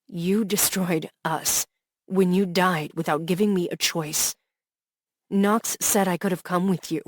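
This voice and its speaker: A woman's voice: lowish voice, steady tone, a little slow, breathy edge, dry recording, clean studio sound. Woman voicing an anguished heartbroken young man. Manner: raw, pleading, rising to anguished outbursts. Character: heartbroken young man pouring out jealous love.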